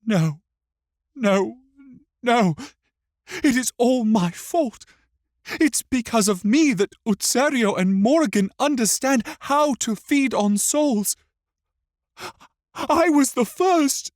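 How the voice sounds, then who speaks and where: A tormented young ghost boy. The voice sits very high and swings with emotion. He pleads like a frightened child who has suffered terribly. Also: expressive, slow, long pauses, no room sound, studio quality, exaggerated voice. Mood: anguished guilt. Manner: pleading, emotional, shrill when upset. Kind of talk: dramatic audiobook character acting.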